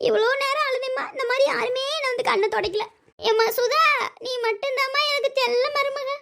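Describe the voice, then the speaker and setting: A woman's voice: very high-pitched, steady tone, a little fast, vocal fry, a bit bright, heavily acted. A woman playing a fretful elderly village mother-in-law in a family drama, with a scolding, lamenting manner. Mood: plaintive. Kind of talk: amateur family drama dialogue.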